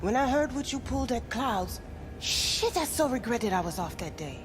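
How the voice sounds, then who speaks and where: Higher-voiced wistful club worker played by a woman. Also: animated, moderate pace, music in the background, lightly acted. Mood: rueful, wistful. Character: wistful, rueful female doll club worker. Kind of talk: video game cutscene acting.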